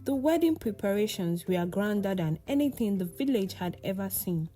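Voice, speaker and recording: A woman's voice: mid-range voice, expressive, normal speed, warm tone, faint music behind. A woman narrating a scripted folktale as a storyteller.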